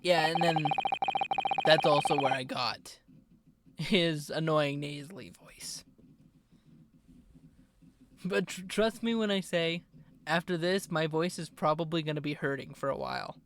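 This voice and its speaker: A woman's voice: deep voice, unhurried, long pauses. A young woman streamer giving chatty, rambling commentary while playing a game. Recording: loud game music behind.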